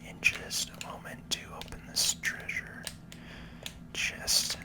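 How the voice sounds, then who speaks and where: Whispering ASMR gaming host. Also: takes it slowly. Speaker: host, gaming video creator. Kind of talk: whispered ASMR gameplay commentary.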